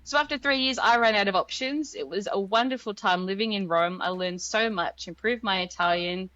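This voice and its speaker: Australian woman, mid-pitched, informal interview guest telling personal stories, close dry sound, slightly muffled. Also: brisk, bright, forceful tone, no echo. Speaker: interview guest, language guide founder. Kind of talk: casual personal storytelling in an interview.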